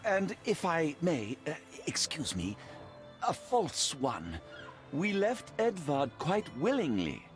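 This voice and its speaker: An actor playing an eager, helpful printer in a video game, speaking politely and earnestly. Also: male voice, fairly high pitch, wildly swooping pitch, slow, full character voice. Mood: politely defiant. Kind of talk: video game cutscene acting. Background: music.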